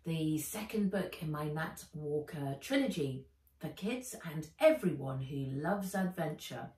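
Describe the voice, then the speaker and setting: A woman narrates a children's storybook in an expressive, dramatic style. She takes her time and varies her pitch widely, in an echoey room a little away from the microphone. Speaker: author reading own book aloud. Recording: roomy echo, a little far from mic.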